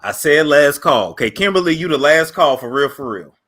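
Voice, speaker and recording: Male call-in host, laid-back and playful, bright voice. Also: on the high side, animated, a little fast. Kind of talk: casual call-in show.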